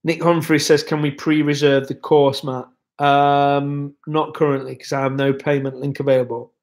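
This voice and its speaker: A man's voice: a little high, normal speed. A young man hosting a casual livestream, chatting loosely and reading out viewer comments, his voice a bit worn from being ill. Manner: relaxed, rambling, chatty with many fillers. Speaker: livestream host.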